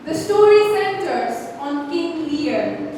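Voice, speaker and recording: Young female stage narrator, formal and deliberate, high voice, recorded far off in a reverberant hall. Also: sing-song, darkish tone. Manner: declamatory, deliberate phrasing. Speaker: narrator introducing a school play. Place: large hall, stage presentation to an audience. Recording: echoey hall from far off, faint noise.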